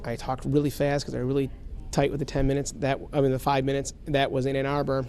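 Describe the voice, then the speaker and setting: A man's voice: mid-range voice, completely flat delivery, a little mellow. A man in his late thirties, a comedian, talking casually in an interview with self-mocking jokes. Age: 30s. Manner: self-mocking, quick joking asides.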